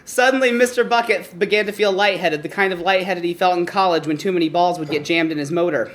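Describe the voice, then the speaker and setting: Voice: mid-range voice, quick pace, fairly bright tone. A performer reading a bawdy comic story aloud in front of an audience. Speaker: story reader. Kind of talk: comedic erotic story reading before an audience. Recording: noisy live room recording.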